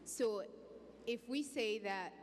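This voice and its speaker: A woman's voice: a little high, takes it slowly. A young woman from Congo speaking earnestly as a panelist on stage, holding a handheld mic in a large hall. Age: young adult. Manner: earnest, outspoken, with restarts. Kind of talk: panel discussion. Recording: hall reverb, faint background noise.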